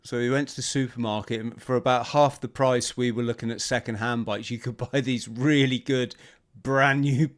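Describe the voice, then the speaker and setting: Smooth-voiced, hesitant podcast host man. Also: mid-range voice, fairly even intonation, moderate pace. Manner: hesitant, many restarts and fillers. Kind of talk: casual interview chat.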